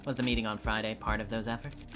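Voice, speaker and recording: A man voicing a sharp, sardonic defense counselor in a mystery game, cross-examining in a pointed, accusatory way. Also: fairly low pitch, little pitch movement, fast, close to an everyday voice. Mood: probing. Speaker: streamer voice acting a game playthrough. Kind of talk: dramatic live character reading of game dialogue. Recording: music behind.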